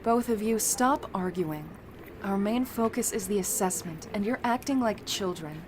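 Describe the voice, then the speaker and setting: A woman's voice: medium pitch, lively intonation, moderate pace, noisy background, natural voice. A woman playing a stern, protective teenage girl training as a hero in an audio drama.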